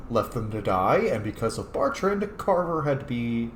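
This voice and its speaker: Animated male gamer in a roomy space. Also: mid-range voice, moderate pace, slight background noise. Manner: animated, reactive. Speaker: streamer playing and roleplaying a video game. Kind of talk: casual game commentary with roleplayed replies. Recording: roomy echo, faint music. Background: faint game music.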